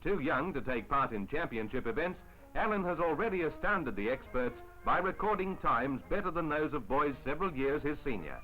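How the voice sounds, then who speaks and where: A man narrating a 1950s newsreel in a formal, measured announcer style on an old film soundtrack. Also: on the high side, animated, lo-fi sound. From Australia. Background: music.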